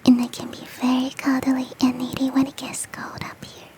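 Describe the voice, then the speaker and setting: A woman voicing a big, fluffy, motherly and flirty yeti in a soft, teasing, whispered ASMR roleplay. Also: high-pitched, monotone, normal speed, natural voice. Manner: tender, teasing, coaxing.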